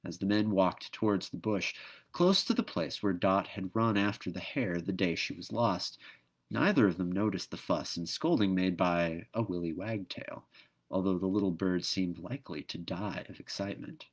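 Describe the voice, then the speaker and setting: Low-voiced man reading a storybook. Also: moderate pace. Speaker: storybook reader. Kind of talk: expressive read-aloud narration of a children's book.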